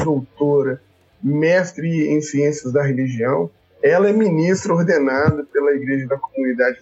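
A man's voice: a little high, a little mellow, husky. A man hosting a podcast, greeting listeners and introducing guests in a friendly, welcoming way. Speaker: podcast host. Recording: dull lo-fi recording.

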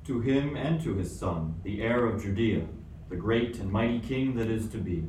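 A roomy recording, slightly far from the mic. A man plays a majestic wise king in a radio drama, speaking low with grave ceremony. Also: animated, normal speed, a little mellow, natural voice. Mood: stately. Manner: stately, ceremonious. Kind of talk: radio play acting. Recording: roomy, distant mic, faint noise.

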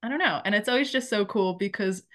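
A young woman hosts a casual book podcast with easy enthusiasm. She speaks at a middle pitch and fills her questions with ums and likes as she goes. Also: fast talker, fairly bright tone. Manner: chatty, many fillers, enthusiastic. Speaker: podcast host.

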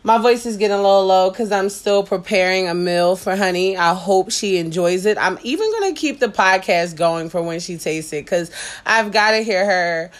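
A woman's voice: mid-range voice, lively intonation, normal speed. A woman hosting a casual podcast from her kitchen, talking frankly and playfully and laughing often. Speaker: podcast host. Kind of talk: casual explicit podcast chat. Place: home kitchen, cooking while talking.

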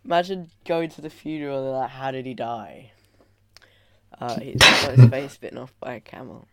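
A man co-hosting a casual podcast, playfully reasoning out loud while guessing quiz answers. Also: mid-range voice, moderate pace, gravelly, a loud crowd. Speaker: podcast co-host. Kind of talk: casual chat.

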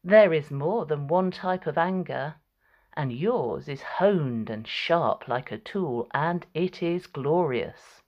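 A woman's voice: deep voice, animated, slow pace, highs cut off, full character voice. A woman voicing Alecto, an eager, sinister winged female Fury with a soothing manner, in a storybook reading. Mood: admiring.

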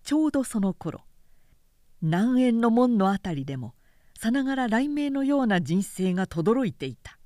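A woman narrates a historical novel in a measured, dramatic way. Her pitch moves freely and expressively, and the sound is dry, with no room around it. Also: dry recording. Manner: clear, measured, expressive storytelling delivery.

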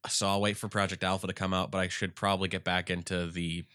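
A man with a congested voice, chatting casually about games as a podcast co-host and rambling through animated retellings. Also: sits low, fast, clear, resonant tone, dry recording, studio quality. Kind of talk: casual gaming chat.

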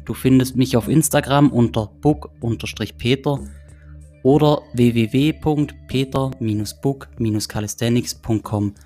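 Guy reading a podcast outro, soft guitar behind him, friendly promo tone. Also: medium pitch, moderate pace. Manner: friendly, promotional, read from a script. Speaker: podcast host, fitness coach. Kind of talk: scripted outro. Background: faint guitar music.

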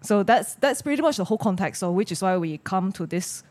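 A woman's voice: mid-range voice, lively intonation, brisk, darkish tone. A woman with a Malaysian accent speaking as a panelist, explaining background in a conversational way.

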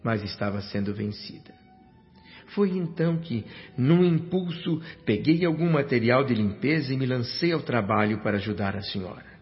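A man's voice: mid-range voice, animated, moderate pace, lightly acted. An actor with a Brazilian accent playing an earnest, humbled doctor who narrates his experiences formally and reflectively in a radio drama. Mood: awed, reflective. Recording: dull lo-fi radio drama with music bed.

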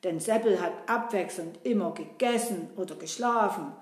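A woman voicing a cheeky, lively puppet boy who chats and teases, talking to the audience. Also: medium pitch, normal speed, lightly acted. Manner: chatty, teasing, addresses the audience. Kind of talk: puppet-show acting. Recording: roomy echo.

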